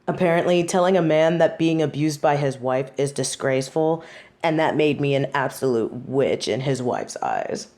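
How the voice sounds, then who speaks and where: A woman's voice: sits low, lively intonation, normal speed, darkish tone. A woman playing a snobby, haughty fallen angel who is secretly devoted, speaking wry, formal lines in an intimate audio roleplay. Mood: wry, reminiscing. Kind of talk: scripted audio roleplay, intimate monologue to one listener.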